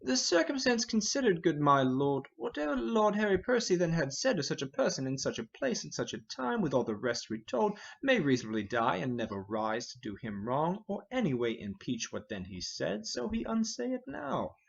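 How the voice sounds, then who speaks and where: A man plays a tactful knight making peace in a Shakespeare play. He pleads formally in a raised, husky voice that moves up and down. The audio is dull. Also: on the high side, big pitch swings, moderate pace, few pauses, exaggerated voice. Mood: conciliatory. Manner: conciliatory, formal. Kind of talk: Shakespearean verse drama reading.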